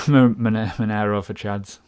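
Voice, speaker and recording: A man's voice: lowish voice, fairly even intonation, a little slow, a little mellow, clear, resonant tone. A man hosting a casual live question-and-answer stream, chatty and self-deprecating, rambling through asides. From United Kingdom. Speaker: crypto livestream host.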